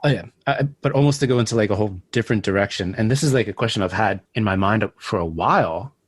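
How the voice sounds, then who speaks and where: A man's voice: lowish voice, fast, breathy edge, no echo. A man co-hosting a podcast interview, chatting loosely and rambling with lots of filler words. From United States. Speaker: podcast co-host. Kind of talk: casual interview chat.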